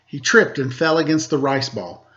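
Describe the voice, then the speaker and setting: Higher-pitched man narrating a children's story. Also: expressive, moderate pace, fairly bright tone. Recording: slightly dull, lo-fi sound.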